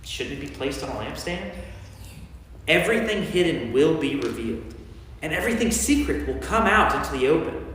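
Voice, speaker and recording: A man playing an authoritative teacher of parables in a staged scripture reading, speaking deliberately in a church. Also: fairly high pitch, animated, fairly bright tone. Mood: earnest teaching. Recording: echoey church hall, faint background noise.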